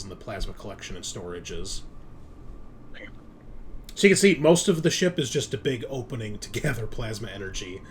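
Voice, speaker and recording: A man runs an online tabletop roleplaying game as game master. His voice sits at a medium pitch and he explains things informally. There is faint noise behind him on the home recording.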